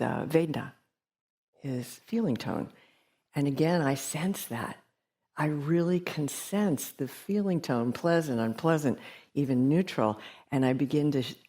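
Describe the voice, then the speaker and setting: A woman gives a gentle retreat teaching talk in a soft, hesitant way, with many pauses and fillers. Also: a bass voice. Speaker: meditation teacher. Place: meditation hall.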